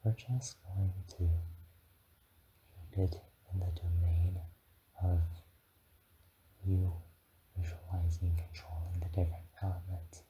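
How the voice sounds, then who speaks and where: A man voicing a gentle young dragon guide in a soft, often whispered ASMR guided meditation, speaking soothingly. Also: low voice, flat delivery, takes it slowly, pauses often, highs cut off, a little hiss or hum. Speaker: ASMR performer.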